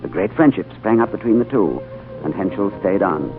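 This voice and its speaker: An actor playing a male diplomat who narrates his strange journey in a 1930s radio drama, earnest and expressive. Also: fairly low pitch, brisk, close to an everyday voice. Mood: narrating. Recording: tinny old radio broadcast with music.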